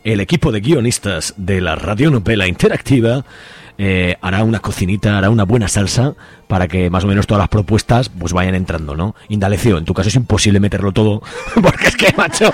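A man's voice: on the low side, expressive, fairly quick, dry recording. A man with an accent from Spain presenting a radio show in a casual, playful, colloquial way. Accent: Spanish, from Spain. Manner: chatty, colloquial, joking.

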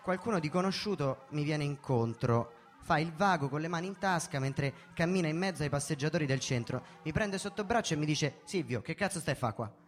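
A male storyteller performs a first-person narrative in a fairly high-pitched voice. His delivery is measured and theatrical, like a staged reading. Music plays softly behind him. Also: lively intonation. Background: background music.

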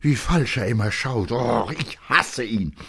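A man's voice: mid-range voice, animated, a little slow, gravelly, fairly bright tone, slightly rough, voice pushed up, exaggerated voice. A man voicing an agitated, defiant young boy in a literary audiobook, speaking vehemently and insistently to his mother. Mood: furious accusing. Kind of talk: dramatic literary audiobook acting.

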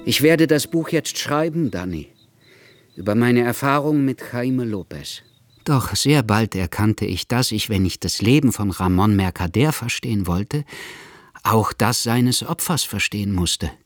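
An actor playing a sombre male writer who narrates history in measured literary style for a radio drama. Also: mid-range voice, expressive, no room sound, clean studio sound, lightly acted. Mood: reflective.

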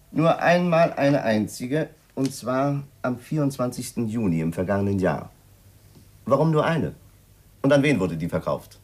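A police commissioner in a 1950s radio crime drama. The voice is husky and moves widely in pitch. He is curt, official and persistent in questioning. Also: darkish tone, full character voice. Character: insistent, businesslike male police commissioner questioning a suspect. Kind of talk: 1950s German radio play acting.

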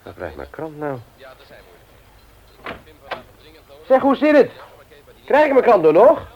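A man's voice: high-pitched, wildly swooping pitch, normal speed. An actor playing a middle-aged husband and father in a Dutch radio play, teasing, hearty and mock-indignant in a domestic scene. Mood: concerned, impatient. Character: grumbling but affectionate middle-aged husband and father, office man. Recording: dull, lo-fi old radio recording.

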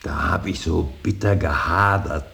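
An older male actor playing a grieving, stern and brooding old painter in a radio drama, speaking in a halting, weighty, solemn stage manner. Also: a bit bright, exaggerated voice. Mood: bitter, anguished. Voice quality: very deep, gravelly. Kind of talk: slow, solemn German stage-style radio drama acting. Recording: hissy radio play recording.

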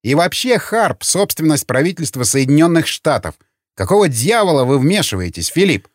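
A man voicing an agitated, indignant minor official in an audiobook, ranting with emphatic, sharp-tongued delivery. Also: a little high, very animated, moderate pace, dry recording, clean studio sound, voice pushed up. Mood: outraged. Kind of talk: audiobook character acting.

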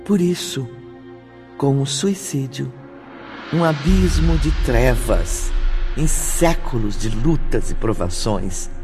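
Unhurried Brazilian male narrator over soft music. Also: mid-range voice. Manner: solemn, formal diction. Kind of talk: scripted radio drama narration.

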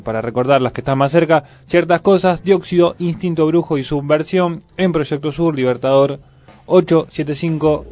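A man's voice: a little high, darkish tone. A man with a Buenos Aires accent chatting casually and reading listings as a radio co-host. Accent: Argentine, Buenos Aires.

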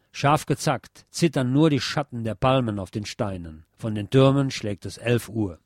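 A man reading scripted literary narration as a radio presenter, in a measured, deliberate, declamatory manner. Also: medium pitch, a little mellow, dry recording, clean studio sound.

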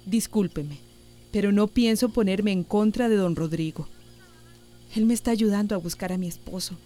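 Earnest village mother with a warm voice woman acting. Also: mid-range voice, moderate pace, lightly acted. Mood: earnest, anguished. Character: earnest, worried village mother and health worker woman, loyal. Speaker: actor in a radio drama. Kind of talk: radio soap opera acting.

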